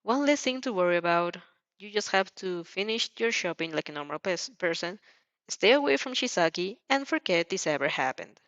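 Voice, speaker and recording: A woman narrating fan fiction in the second person, expressive and dramatic, now and then stumbling and rereading a line. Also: lowish voice, moderate pace, no room sound. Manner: expressive, stumbles over words and rereads lines. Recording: dull, muffled lo-fi sound.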